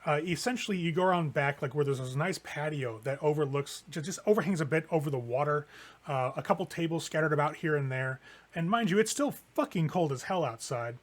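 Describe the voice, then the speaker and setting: Male game master, higher register, conversational horror narration for a tabletop game. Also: animated, fairly quick. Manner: casual, conversational improvised narration with swearing.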